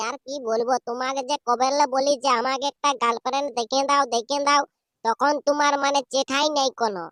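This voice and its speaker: Man playing a wheedling, quick-talking cartoon ex-boyfriend. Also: very high voice, pushed and bright, no room sound, clean studio sound. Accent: Purulia. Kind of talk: comic cartoon phone dialogue.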